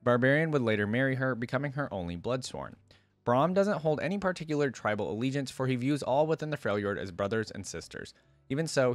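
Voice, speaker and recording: A man's voice: mid-range voice, no room sound. A man hosting a reaction video, moving between reading lore aloud and informal commentary as he thinks aloud.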